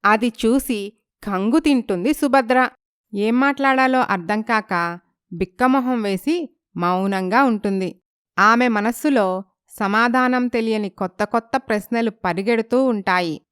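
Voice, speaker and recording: A woman narrates a scripted dramatic story in a fairly high, crisp voice. The sound is dry and close, with very little room in it. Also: a little slow, fairly bright tone, no echo.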